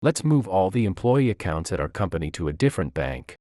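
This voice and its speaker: A man's voice: deep voice, big pitch swings, a little fast, warm tone, no echo, studio quality. A man voicing a cheerful, innocent and forthright little girl who is proud of her father in a narrated story. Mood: firm.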